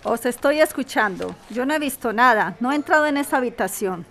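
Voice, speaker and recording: A woman's voice: a little high, expressive, brisk, a bit bright. A woman acting an anxious, respectful bar worker in an audio drama, earnest and pleading. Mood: offended, defensive.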